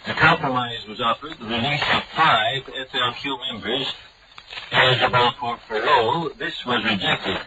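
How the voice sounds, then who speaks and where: Guy reading the news, steady and formal, muffled old shortwave sound w/ loud hiss. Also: medium pitch, normal speed. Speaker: news announcer.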